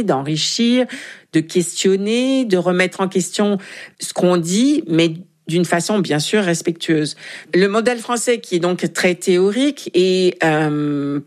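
Lower-voiced woman explaining as expert guest. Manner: deliberate, explanatory, enumerating. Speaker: intercultural consultant, expert guest. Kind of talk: explanatory radio interview.

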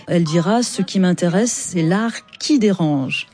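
A woman radio host presenting and interviewing with a composed, clear delivery. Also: fairly low pitch, measured pace, a little mellow.

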